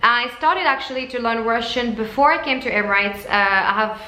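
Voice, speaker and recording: A woman's voice: fairly high pitch, a little fast, pushed and bright. A woman in her twenties from France, a flight attendant chatting casually to camera in English, French being her first language, with lots of fillers and restarts. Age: 20s. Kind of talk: casual talking-to-camera vlog. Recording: echoey room with faint background noise.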